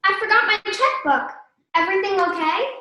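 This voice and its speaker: A female student playing a brisk, wry mother in a children's radio play. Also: very high-pitched, animated, sharp tone, slight background noise, heavily acted. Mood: hurried. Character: brisk, wry mother scolding her bored daughter. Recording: echoey room, far from mic.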